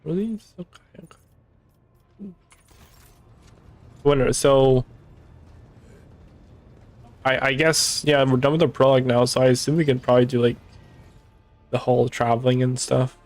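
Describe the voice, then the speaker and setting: A young man streaming a game, hoarse and tired, rambling casually as he thinks aloud. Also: medium pitch, steady tone, measured pace, long pauses. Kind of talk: casual live-stream commentary.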